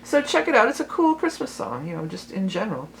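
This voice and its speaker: A woman with a lowered voice, doing a chatty, rambling tarot reading for her vlog, full of fillers and restarts. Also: very animated, quick pace, slight background noise, poor audio. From Cleveland, Ohio. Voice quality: lower voice than she once had. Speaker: tarot reader and musician.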